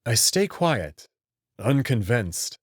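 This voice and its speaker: This is a man playing the uneasy hero of a visual novel, telling his story in the first person. He is casual and a little wry, with lively intonation at an ordinary male pitch. The recording is dry and close. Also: takes it slowly, breathy edge, dry recording, exaggerated voice. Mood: uneasy, reflective. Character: anxious, skeptical human man narrating his own story.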